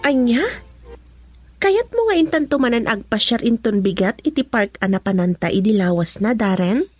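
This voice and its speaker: A woman's voice: fairly high pitch, expressive, moderate pace, a little mellow, slightly rough, faint music behind. A Filipino woman playing a sweet, agreeable young daughter and girlfriend in a radio drama.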